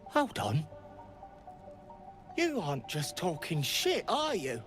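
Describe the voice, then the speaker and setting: A foul-mouthed, suspicious underling from a video game. His voice is high and swings widely, taking its time as he snarls. Music plays behind him. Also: wildly swooping pitch, slow, fairly bright tone, heavily acted. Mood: hostile, wary. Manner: brusque, snarling, coarse cursing. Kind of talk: video game character acting.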